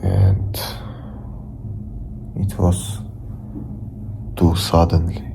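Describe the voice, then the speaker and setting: A young man with a Russian accent, a native Russian speaker, telling a story from his bedroom in halting English, repeating and restarting his words. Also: sits low, flat delivery, gravelly, noisy background. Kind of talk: casual storytelling to camera.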